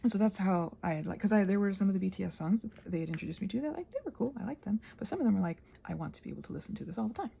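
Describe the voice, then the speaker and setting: A soft-spoken woman in a casual, rambling chat while she crafts, meandering and interrupting herself as she murmurs along. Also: mid-range voice, lively intonation, racing, creaky, hardly any pauses, slightly rough. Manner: meandering, digressive, self-interrupting. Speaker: craft vlogger and audio creator.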